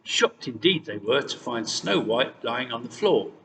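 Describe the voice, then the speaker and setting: A man reading a fairy tale aloud as scripted, expressive narration. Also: medium pitch, unhurried. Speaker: storyteller. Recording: distant, reverberant hall sound with noise.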